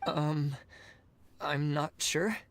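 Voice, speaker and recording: Timid easygoing young candidate in a game, low voice, slow, unsure. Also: female voice, fairly even intonation, a little hiss or hum. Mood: hesitant. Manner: halting, unsure. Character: meek, hesitant, easygoing young exam candidate. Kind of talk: video game voice acting.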